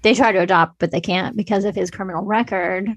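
A woman's voice: mid-range voice, steady tone, quick pace, dry recording. A woman co-hosting a podcast, chatting casually about film in an informal, conversational way peppered with filler words. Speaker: podcast co-host.

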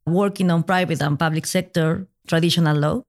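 A woman's voice: on the low side, fairly even intonation, fairly quick, a little mellow. A woman from Peru with a Peruvian Spanish accent, explaining earnestly as a guest in a casual interview. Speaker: podcast guest, legal designer.

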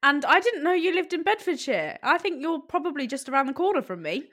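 A woman's voice: high-pitched, very animated, brisk, a bit bright. A woman hosting a podcast, introducing her guest in an upbeat, encouraging way. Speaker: podcast host. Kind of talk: casual interview chat.

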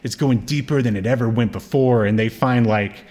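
A man's voice: mid-range voice, normal speed, a little mellow. A man co-hosting a podcast in casual, unscripted chat, thinking aloud in an informal, rambling way. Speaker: podcast co-host.

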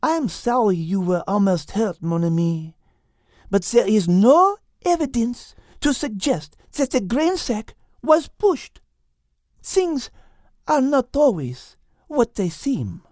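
A man voicing a calm, wise female cat who reasons carefully and drops French words. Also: extremely high pitch, takes it slowly, few pauses, dry recording, studio quality, pitched above the performer's own voice, exaggerated voice. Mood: reasoning.

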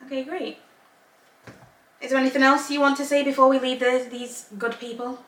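A woman's voice: high-pitched, little pitch movement, moderate pace, a bit bright. A woman born in Zambia and raised in England, co-hosting a casual podcast chat, rambling in long run-ons and laughing often. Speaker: podcast co-host. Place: home room, Northern Ireland. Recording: echoey room, mic at a distance.

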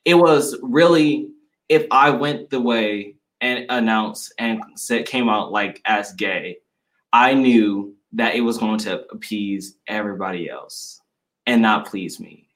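A young man in casual conversation, speaking earnestly and reflectively with halting restarts. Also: fairly low pitch, a bit bright, poor audio. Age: young adult. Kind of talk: casual chat.

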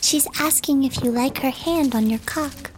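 An actress voices an insistent, seductive female go-between in a comic sci-fi audio play. Her voice sits high, and she coaxes and pleads softly for what she wants. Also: moderate pace, lightly acted, poor audio. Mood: coaxing, insistent. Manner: coaxing, pleading. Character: insistent seductive female interpreter for a silent companion. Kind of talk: sci-fi comedy audio drama acting.

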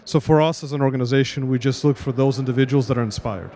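A man on a conference panel, speaking slowly with deliberate emphasis. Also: mid-range voice, dark tone, a little hiss or hum.

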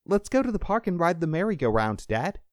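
A man's voice: on the high side, big pitch swings, a little fast, dry recording, studio quality, pitched above the performer's own voice. A man voicing an eager, loving five-year-old boy with simple, childlike pleading, in a story.

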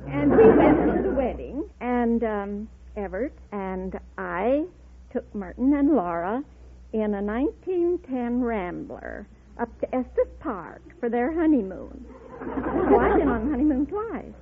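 A woman's voice: fairly high pitch, big pitch swings, measured pace, slightly rough, a faint crowd. A woman in her sixties, a quiz show contestant chatting and telling anecdotes on an old radio broadcast. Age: 60s. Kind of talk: chatty answers and anecdotes on stage. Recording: old radio recording, very noisy, narrow-band, loud audience. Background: loud studio audience.

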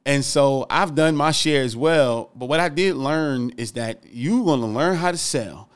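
A man's voice: medium pitch, a little slow, smooth. A man hosting a casual interview podcast, encouraging and laughing as he talks. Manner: encouraging, laughs while talking. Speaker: podcast host.

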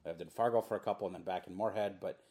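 Relaxed low-voiced man co-hosting a podcast. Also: rapid-fire, husky. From Minnesota. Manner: relaxed, hesitant, many fillers. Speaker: podcast co-host. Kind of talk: casual conversational podcast.

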